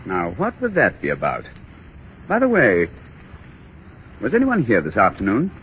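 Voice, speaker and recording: A genial, businesslike husband in a 1930s radio drama. His voice is very animated and forceful. The old broadcast sounds dull. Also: lowish voice, moderate pace, slight background noise. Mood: casual, probing. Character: genial, busy husband, a bank manager.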